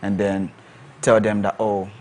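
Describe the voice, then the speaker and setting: A man guesting on a talk show, relaxed and laughing easily as he explains with examples. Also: lowish voice, measured pace, crowd noise. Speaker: guest content creator. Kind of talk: casual interview chat. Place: TV studio.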